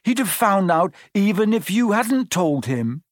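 A man's voice: high voice, sing-song, measured pace, pitched above the performer's own voice, heavily acted. A man voicing an earnest, plucky and kind schoolboy, direct and emphatic, in an audiobook. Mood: insistent, comforting.

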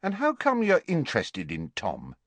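A man's voice: fairly high pitch, huge pitch swings, normal speed, no echo, pitched above the performer's own voice. A man voicing an anxious, harassed woman who runs an orphanage in an audiobook, flustered and blunt. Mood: suspicious.

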